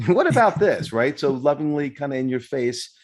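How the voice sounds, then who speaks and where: A man's voice: medium pitch, very animated, moderate pace. A male podcast host giving an earnest, effusive introduction and asking questions, with fillers and restarts.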